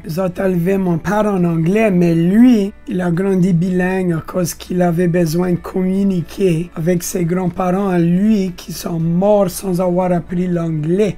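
High-voiced man with Louisiana French accent. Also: mellow. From Louisiana, USA. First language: English. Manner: gestures broadly with both hands. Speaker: participant. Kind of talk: casual self-introduction to camera. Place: wood-panelled room indoors.

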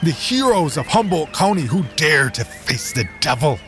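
A man voicing a fiery frontier preacher who rants and booms scripture at a crowd. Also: takes it slowly, faint music behind, lightly acted. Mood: zealous. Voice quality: booming, ranting. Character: fiery fire-and-brimstone male preacher.

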